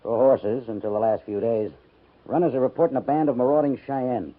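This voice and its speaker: An actor playing a brusque, dismissive army major in a 1950s radio drama, speaking in a brisk, curt way. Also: male voice, medium pitch, lightly acted. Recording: tinny lo-fi radio broadcast with faint hiss.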